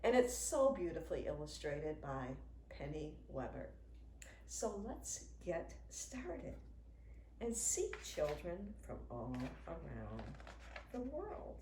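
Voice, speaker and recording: A woman in her sixties, a children's author, reading a picture book aloud to young children in an expressive, sing-song way. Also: low-pitched, takes it slowly. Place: indoors in a home room with a wooden desk. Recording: roomy sound with faint noise.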